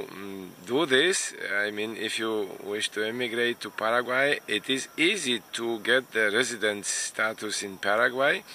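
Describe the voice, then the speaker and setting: Native Romanian speaker, male, slow and halting vlog delivery, gravelly low voice, faint background noise. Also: bright, forceful tone. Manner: unhurried, hesitant, many fillers. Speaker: immigration consultant vlogger. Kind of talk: casual talk to camera.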